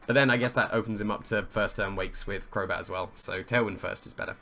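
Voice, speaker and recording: A man with a slightly hoarse, croaky voice commentates his own competitive match in casual play-by-play, thinking aloud, weighing his options and amused at his own plays. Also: fairly low pitch, steady tone, fast talker, bright, forceful tone.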